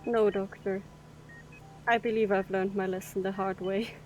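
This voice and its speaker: A woman's voice: medium pitch, little pitch movement, normal speed, a little hiss or hum, close to an everyday voice, low-quality recording. A woman playing a frank, rueful cargo captain in an audio drama, talking in a matter-of-fact way.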